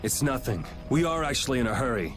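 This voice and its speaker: A man's voice: medium pitch, very animated, quick pace, fairly bright tone. An actor voicing a laid-back, wry young swordsman hero in a video game, firm when it counts. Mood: calm. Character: cool young male swordsman hero, sardonic. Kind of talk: game voice acting. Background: game music.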